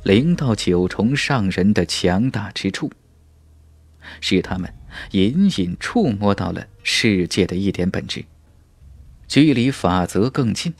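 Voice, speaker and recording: Animated low-voiced male audiobook narrator. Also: a little slow, no echo. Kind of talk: scripted fantasy novel narration.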